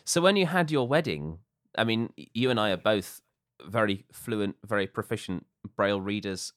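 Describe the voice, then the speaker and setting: A man hosting a conversation, asking long questions and explaining in a measured way. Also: lowish voice, animated, dry recording, studio quality. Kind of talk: conversational discussion.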